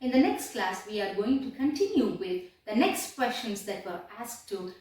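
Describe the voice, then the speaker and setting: A woman teaching a lesson as a deliberate retelling, repeating phrases for emphasis. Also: mid-range voice, sing-song, darkish tone. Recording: echoey room, distant microphone.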